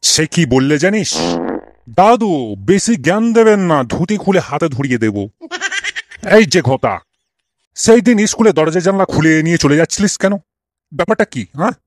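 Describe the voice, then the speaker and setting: A man's voice: on the high side, sing-song, moderate pace, long pauses, no echo, studio quality. A man playing a cranky old schoolmaster in a comic cartoon, scolding and ranting with exasperated, theatrical rhetorical questions. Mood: indignant ranting. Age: older.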